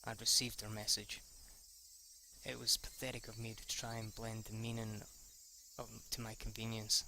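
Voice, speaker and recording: Male let's-play reader, forceful and creaky, stumbling narration with sweary asides, faint noise and insect-like ambience. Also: lowish voice, monotone, rough recording. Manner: halting, stumbles over words, sweary asides. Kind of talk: reading visual novel narration aloud.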